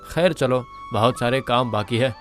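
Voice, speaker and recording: A man playing a kindly, conscientious middle-aged village merchant in a moral-story cartoon, earnest and measured. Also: mid-range voice, soft background music, lightly acted. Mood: friendly.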